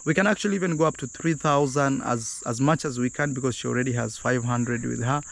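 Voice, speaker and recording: A man's voice: medium pitch, fast talker, gravelly, no room sound. A man hosting a casual travel vlog outdoors, speaking to viewers as "guys". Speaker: vlogger host. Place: outdoors on a road near a beach.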